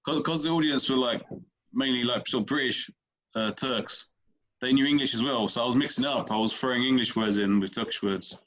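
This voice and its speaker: A man's voice: medium pitch, fairly quick, fairly bright tone. Rambling man with a slightly dull sound. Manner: rambling, repeats words, talks in loose stories. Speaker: comedian guest. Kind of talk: casual podcast chat.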